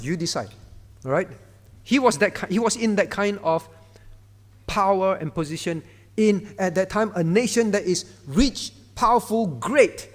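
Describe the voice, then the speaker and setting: A male pastor, preaching a sermon in a conversational way and often turning to the congregation. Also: high-pitched. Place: church hall. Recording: reverberant church hall.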